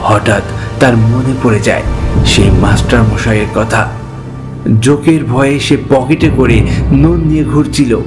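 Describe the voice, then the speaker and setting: Casting: male narrator for horror tales, slow delivery, medium pitch, music clearly behind. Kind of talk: scripted horror story narration.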